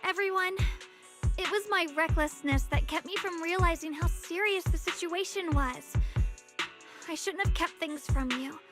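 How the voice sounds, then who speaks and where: A woman playing an earnest, formal young swordswoman in an anime-style video game, measured and polite. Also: extremely high pitch. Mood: apologetic, grave. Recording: game dialogue over prominent music.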